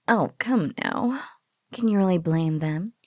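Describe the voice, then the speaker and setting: A close, dry, breathy female spider monster acted by a woman in an intimate audio roleplay. She coos slowly and possessively over her captive, teasing and doting, her drawl often sinking into whispers. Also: medium pitch, no room sound. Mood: teasing, amused. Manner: teasing, drawling coo with giggles.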